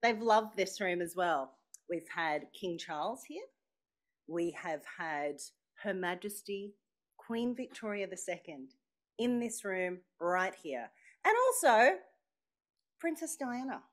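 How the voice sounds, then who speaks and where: An Australian woman is emceeing a live event, and her pitch swings widely as she talks. She sounds chatty and playful, and speaks straight to the room as she draws the crowd in. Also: animated, slow pace. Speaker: master of ceremonies at an event launch. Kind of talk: live speech to an audience. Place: function room with a live audience.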